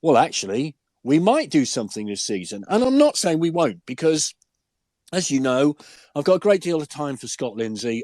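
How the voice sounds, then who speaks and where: An older man, hoarse and deep-voiced from a cold, chatting enthusiastically as a guest pundit and asking rhetorical questions. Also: a little mellow, dry recording. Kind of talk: casual podcast chat.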